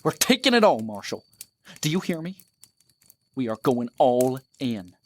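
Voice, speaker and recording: A man's voice: medium pitch, very animated, a little slow, slight background noise. Man acting a greedy, ruthless Old West bandit. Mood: greedy, commanding. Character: greedy, ruthless, domineering male bandit, older brother type. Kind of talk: audio drama acting, folksy Old West dialect.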